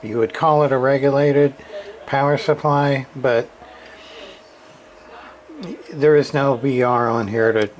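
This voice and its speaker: A man giving a casual, halting walkthrough of a radio repair, full of fillers and restarts. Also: medium pitch, steady tone, rough recording.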